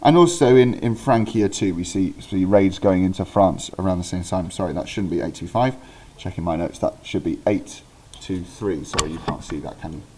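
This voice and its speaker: A relaxed man with a low, mellow voice, talking through a history lesson the way a teacher does at his desk. He keeps pausing on ums, breaks off to fix a date or a word, then carries on in a friendly, chatty tone. The delivery is unscripted and easygoing. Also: low-quality recording. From Wales. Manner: chatty, self-correcting, with frequent ums and restarts. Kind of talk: informal recorded history lesson.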